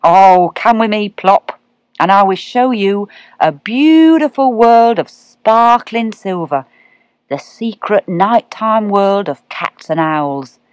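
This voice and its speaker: Kindly male cat, drawling, unhurried, lower voice, put on by a woman narrator, dry sound. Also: lively intonation, dry recording. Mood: dreamy. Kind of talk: storybook reading.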